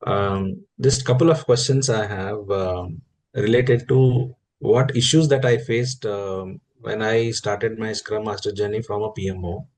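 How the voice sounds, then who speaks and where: A man's voice: lowish voice, steady tone, measured pace, a little mellow, slight background noise, rough recording. Hesitant man asking a webinar question. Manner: hesitant, many "uh" and "um" fillers.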